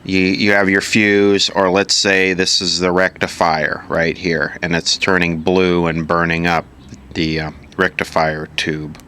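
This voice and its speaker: A man's voice: deep voice, flat delivery, measured pace, bright, forceful tone, slight background noise. A man giving an informal hands-on repair tutorial, matter-of-fact and thinking aloud piece by piece as an instructor.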